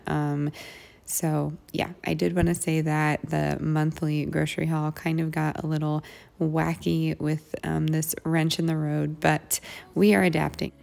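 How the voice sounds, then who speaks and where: A woman's voice: deep voice, gravelly, a little mellow. An American woman in her thirties narrating her meal prep casually as a home vlogger.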